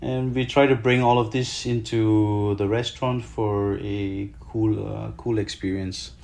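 A man with a Malaysian accent, a chef answering interview questions in an explanatory, halting way with repeated words. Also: on the low side, flat delivery, vocal fry, a little mellow.

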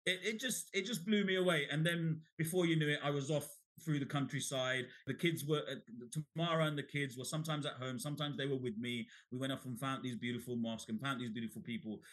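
A middle-aged man from Bangladesh, a guest author in an interview, telling his story in an animated way, often restarting and repeating words. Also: mid-range voice, quick pace, few pauses, poor audio.

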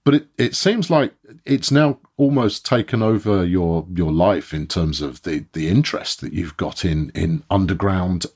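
A man's voice: low voice, unhurried, a little mellow, slightly rough. A man hosting a podcast, putting questions to a guest in a conversational interview style.

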